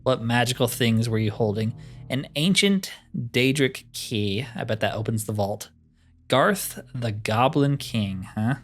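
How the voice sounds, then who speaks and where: A man hosts a gameplay video with music playing behind him. He talks in a mid-pitched voice, casual and easygoing, thinking out loud as he plays. His commentary is chatty and informal. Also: animated, measured pace. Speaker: gaming video host.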